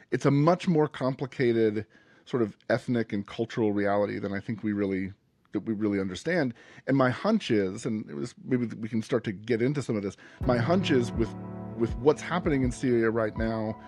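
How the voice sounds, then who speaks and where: A man's voice: mid-range voice, darkish tone, slightly rough. A man hosting a podcast, asking long questions while searching for words, with many restarts. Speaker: podcast host. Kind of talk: interview questions.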